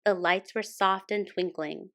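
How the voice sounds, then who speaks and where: A woman with a mid-pitched voice narrates a children's picture book. She is a storytime host who reads expressively from the text. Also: fairly even intonation, a little slow, fairly bright tone.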